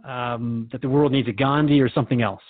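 A man's voice: medium pitch, steady tone, a little fast, narrow, tinny audio, lightly acted. A man playing a curious, respectful radio host in a radio drama, interviewing in an inquisitive, conversational way. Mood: curious, earnest.

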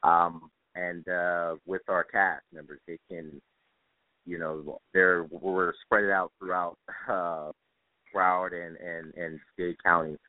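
A man speaking as an interview guest, casual and informative, with halting delivery. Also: sits low, level tone. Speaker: guest, stage actor. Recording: roomy tinny phone line.

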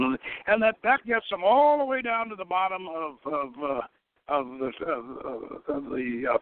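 A thin, dry phone-line recording of an older male radio host talking unscripted. He rambles haltingly, repeating words and stalling as he searches for the next phrase, in a mid-pitched voice. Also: no echo. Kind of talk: unscripted solo talk, recapping a story and announcing next episode.

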